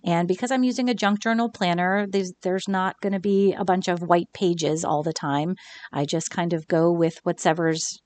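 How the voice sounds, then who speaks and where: A woman hosting a crafting video, talking through her work in a casual voice-over, her voice a little scratchy from a recent illness. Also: fairly low pitch. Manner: chatty and relaxed, with fillers and small laughs. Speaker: craft channel host.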